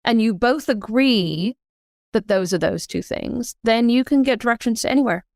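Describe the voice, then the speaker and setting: A woman's voice: fairly high pitch, animated, normal speed, creaky, a little mellow, dry recording, studio quality. A woman speaking as a podcast guest and leadership advisor, explaining informally with analogies and acting out questions and thoughts.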